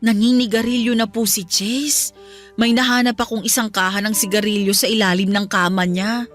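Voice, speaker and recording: A woman's voice: medium pitch, fairly even intonation, moderate pace, soft background music, lightly acted. A woman playing a deferential, chatty housekeeper in a Filipino radio drama, confiding in a respectful way. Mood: hesitant, confiding. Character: deferential, tattling female housekeeper, soothing and chatty.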